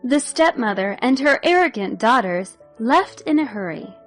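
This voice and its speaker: A woman narrating a scripted fairy tale for children in an expressive, measured storytelling manner. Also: on the high side, soft background music.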